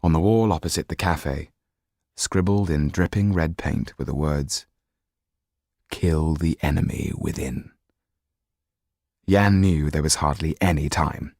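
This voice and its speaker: Male literary narrator, low register, dry close sound, measured storytelling delivery. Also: a little mellow, no echo. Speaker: audiobook narrator.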